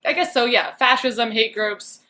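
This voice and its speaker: Bright-voiced woman with wry dark humor. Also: on the high side, normal speed, slight background noise. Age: 30s. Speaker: podcast guest, investigative reporter. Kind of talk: casual interview chat.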